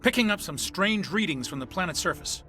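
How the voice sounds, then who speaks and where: An exasperated space pilot in a game, high piercing voice, argues back. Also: male voice, expressive, fairly quick, bright, forceful tone. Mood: tense, exasperated. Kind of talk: sci-fi game dialogue.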